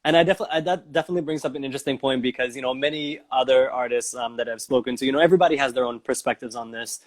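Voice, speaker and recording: Encouraging male host with mid-pitched voice. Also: rapid-fire. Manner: encouraging, affirming. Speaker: talk show host. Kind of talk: casual interview.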